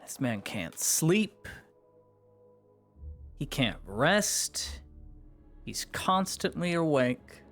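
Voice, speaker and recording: A man streaming a roleplay, narrating his own character in the third person in a slow, musing way with many pauses. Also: a little high, sing-song, fairly bright tone, soft background music.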